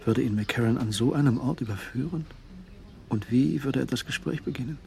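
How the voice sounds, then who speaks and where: A man's voice: medium pitch, fairly quick, creaky, darkish tone, slight background noise, close to an everyday voice. A man acting as the anxious narrator of a suspect's thoughts in a crime radio play. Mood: anxious suspense.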